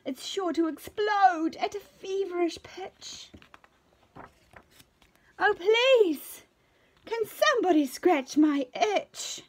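A woman's voice: extremely high pitch, animated, takes it slowly, long pauses, voice pushed up, heavily acted. A woman voicing a melodramatic male hare in a storybook, wailing theatrically in desperation over an itch. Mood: wailing, desperate.

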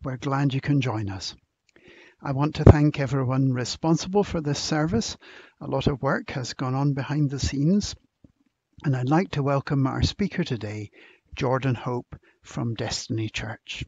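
A man, a church minister, reading a welcome, scripture and prayers in a solemn, measured, deliberate manner. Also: mid-range voice, darkish tone, husky, highs cut off. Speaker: church minister leading an online service.

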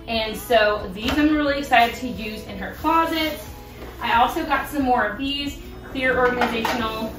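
A woman in her thirties chatting casually about her shopping haul, bubbly and quick to laugh, recorded in a home kitchen. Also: on the high side, far from the mic. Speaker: vlogger. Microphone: camera across the room. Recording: roomy kitchen, voice from off-mic, music behind.

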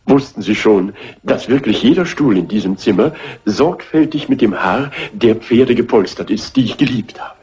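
An actor playing an eccentric, horse-loving older gentleman, courtly and chatty, in a dubbed crime film. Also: fairly low pitch, lively intonation, normal speed. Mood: courtly, earnest. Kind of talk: 1960s crime film dub. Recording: muffled husky old film soundtrack with music.

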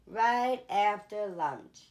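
A woman's voice: medium pitch, expressive, drawn-out words, a little mellow. A woman voicing an obliging storybook animal that politely accepts a challenge, drawing out the words.

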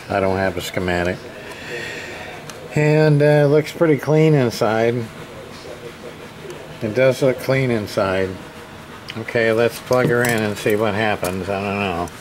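A man giving a casual hands-on repair demonstration, thinking aloud in a hesitant, halting way as he goes. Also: fairly low pitch, crowd noise, rough recording. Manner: hesitant, halting, muttering to himself. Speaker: hobbyist radio repair presenter. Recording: clear background room noise.

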